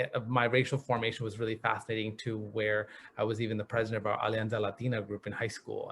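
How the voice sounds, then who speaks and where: A man speaking on a video panel, thoughtful and reflective, often pausing to find the right words. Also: fairly low pitch, fairly bright tone, slight background noise. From grew up in the US Northeast. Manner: thoughtful, searching for words, frequent restarts. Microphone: video call.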